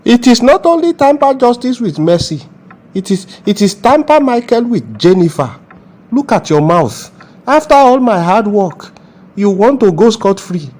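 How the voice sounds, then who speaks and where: Man as a dark-toned, zealous Nigerian officer. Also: high voice, very animated, moderate pace. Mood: scornful. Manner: scolding, self-important. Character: zealous, scornful, self-important Nigerian police officer. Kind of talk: comedy skit acting.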